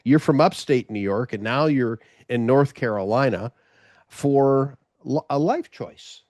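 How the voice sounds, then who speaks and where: A man's voice: medium pitch, a little slow, a little mellow, no room sound, clean studio sound. A middle-aged man from Los Angeles, a former radio host, chatting over a video call in a rambling way, often restarting his sentences. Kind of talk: casual interview chat.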